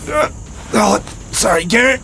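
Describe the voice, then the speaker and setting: A man's voice: high-pitched, lively intonation, unhurried, noisy background. An actor playing an agitated, panicked man muttering to himself in an audio drama. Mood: anxious, strained. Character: panicked young man hiding a secret, talking to himself.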